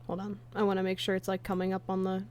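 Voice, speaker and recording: A woman's voice: medium pitch, completely flat delivery, quick pace, darkish tone, slight background noise. A woman chatting casually on a livestream as its host and creator. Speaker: streamer, fan comic dub creator.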